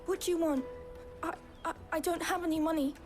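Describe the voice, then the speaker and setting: A woman's voice: very high voice, fairly even intonation, fairly quick, faint music behind. A woman voicing a nervous, timid child in a fantasy video game, hesitant and stammering. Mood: frightened. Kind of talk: dramatic video game acting.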